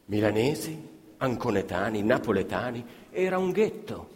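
An actor playing an impassioned working-class storyteller, declaiming theatrically. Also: male voice, medium pitch, big pitch swings, moderate pace, darkish tone, natural voice. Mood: reflective storytelling. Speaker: stage actor and narrator. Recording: live hall with long reverb, music. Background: live music.

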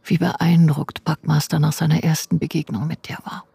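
An actress in a radio drama playing a sombre British intelligence officer, measured and reflective, troubled by doubt. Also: female voice, low voice, flat delivery, vocal fry. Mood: reflective, uneasy. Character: British female intelligence officer, sombre, doubting, brooding over lost agents. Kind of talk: radio drama acting with inner monologue.

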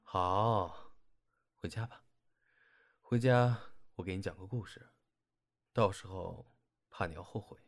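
A man playing a steady, teasing and protective young stage partner in an audio drama, talking casually. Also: low voice, slow, vocal fry, pauses often, heavily acted. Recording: guitar music behind the voice. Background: guitar music.

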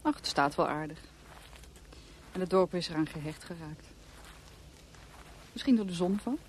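A woman's voice: fairly low pitch, animated, moderate pace, a little hiss or hum, natural voice. An actress playing a forthright, nonchalant woman from a country house in a radio drama, speaking in a direct, dryly offhand way. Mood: nonchalant, inviting.

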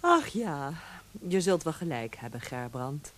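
An actress playing a wilful young mistress in a period radio drama, teasing, languid and scheming, on a hissy old recording. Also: female voice, sits low, very animated, unhurried. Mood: evasive, amused.

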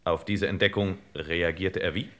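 An actor playing a shrewd, dry hard-boiled private detective in a tongue-in-cheek radio crime play, with clipped, knowing delivery. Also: male voice, low voice, fairly even intonation, moderate pace, a bit bright. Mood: cool, knowing. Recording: slightly noisy old radio recording.

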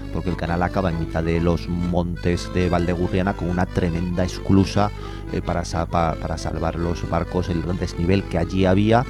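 A man speaks in a very low, gravelly voice. He talks quickly and chattily, like a guest educator enthusiastically sharing tips on a radio show, with clear music behind him. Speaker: environmental educator, guest contributor. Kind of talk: informal radio chat recommending walks.

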